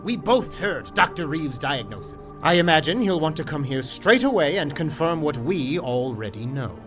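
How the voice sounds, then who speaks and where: A man's voice: fairly high pitch, very animated, normal speed, music in the background. An actor playing a sober, reasoning man in a gothic audio drama. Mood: grave, firm.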